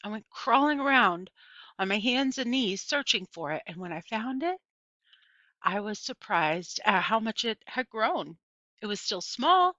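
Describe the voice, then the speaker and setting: A woman's voice: mid-range voice, bright, forceful tone, no room sound. A woman reading a first-person children's novel aloud as scripted narration. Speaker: audiobook reader. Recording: dull, lo-fi, dry close recording.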